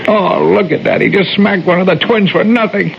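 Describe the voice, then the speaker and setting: An actor playing the gentle, sorrowful ghost of a dead father in a 1970s radio drama, halting and apologetic. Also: sits high, gravelly. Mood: frustrated, sorrowful. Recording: noisy, dull 1970s radio recording.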